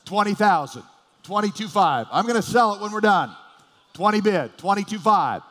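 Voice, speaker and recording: A man working as an auctioneer, chanting bids in a rapid, repetitive patter and urging on bidders at a live car auction. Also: high voice, animated, fairly bright tone. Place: large auction hall. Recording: hall PA with long reverb.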